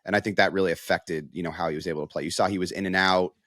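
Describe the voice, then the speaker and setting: Male sports analyst, low register, dry close recording, conversational and explanatory. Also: steady tone, racing, fairly bright tone, no room sound. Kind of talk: casual analytical sports talk.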